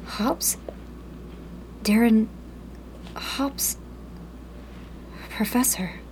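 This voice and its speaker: A woman's voice: on the high side, extremely slow, darkish tone, a little hiss or hum, low-quality recording. A woman playing a headstrong, skeptical young rebel in a sci-fi radio drama, speaking with insistent emotion. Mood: hurt, shaken.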